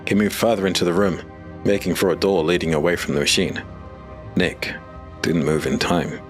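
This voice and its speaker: A man narrating a dark fantasy audio drama in measured, dramatic storytelling. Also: low voice, soft background music.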